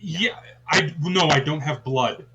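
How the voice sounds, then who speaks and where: A man's voice: fairly high pitch, slow, a loud crowd, poor audio. A man playing in a tabletop game, joking around and speaking with some hesitation. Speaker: player.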